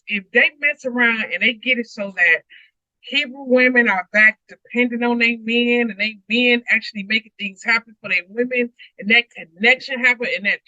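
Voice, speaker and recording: A woman's voice: on the high side, bright, forceful tone, no room sound. A woman co-hosting a Bible read-along, speaking informally in casual chat and reading aloud, on a muffled home stream mic.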